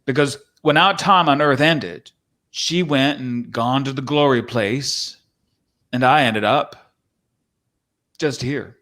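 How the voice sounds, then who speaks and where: A man's voice: mid-range voice, takes it slowly, pauses often, bright, forceful tone. A man playing a folksy, courtly, wistful cowboy from the Old West in a dramatic script reading. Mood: regretful, tender.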